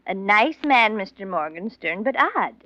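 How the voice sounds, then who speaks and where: A woman's voice: medium pitch, animated, normal speed, bright, forceful tone, no echo. An actress playing a chatty, rambling landlady with folksy phrasing in a 1940s radio mystery. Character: chatty, folksy landlady recalling an odd lodger. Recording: dull vintage radio recording.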